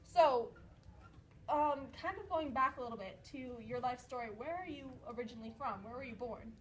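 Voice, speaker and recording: A woman's voice: high-pitched, lively intonation, normal speed, rough recording. A woman anchoring a mock news skit in a chatty, stumbling way. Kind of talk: acting out a mock news show.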